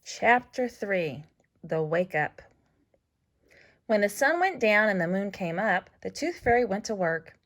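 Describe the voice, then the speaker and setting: A woman reading a children's story aloud as scripted narration, with expressive, deliberate phrasing for young listeners. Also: medium pitch. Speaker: storybook reader.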